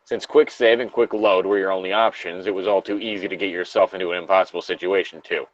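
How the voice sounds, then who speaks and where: Guy with a lowish voice reading creepypasta casually, jokey asides, kinda muffled dry mic. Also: fairly even intonation, fast talker. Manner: joking asides, stumbles while reading. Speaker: co-host reading stories aloud.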